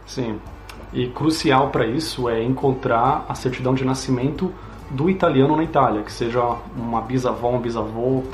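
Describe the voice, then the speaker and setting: A man's voice: mid-range voice, darkish tone, echoey room, slight background noise. A Brazilian man hosting a casual interview chat, explaining things in a friendly way. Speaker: host.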